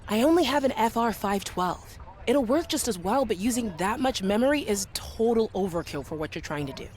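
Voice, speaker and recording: An actress playing a sardonic, tech-savvy young programmer in a video game, blunt and teasing with a dry manner. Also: female voice, fairly high pitch, animated, fairly quick, a little mellow, slight background noise, close to an everyday voice. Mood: exasperated. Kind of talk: video game character dialogue.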